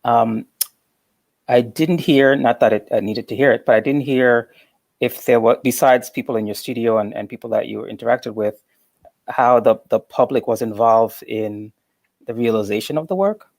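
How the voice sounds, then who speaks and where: A man's voice: medium pitch, normal speed, gravelly, darkish tone, no echo. A man interviewing an artist, asking long, hesitant questions full of fillers and restarts. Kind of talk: interview conversation.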